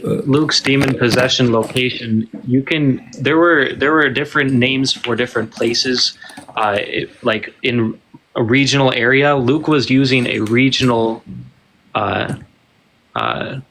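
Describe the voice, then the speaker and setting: A man's voice: medium pitch, a little slow, fairly bright tone, slight background noise, poor audio. A man rebutting in a casual debate, with many fillers and restarts.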